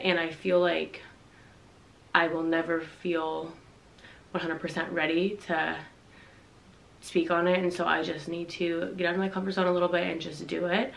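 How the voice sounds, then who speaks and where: Congested young woman, gravelly and confiding. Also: fairly low pitch, low-quality recording. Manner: earnest, confiding, reads from notes. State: congested from a cold. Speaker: vlogger. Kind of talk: candid personal talk to camera. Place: bedroom, indoors, dim.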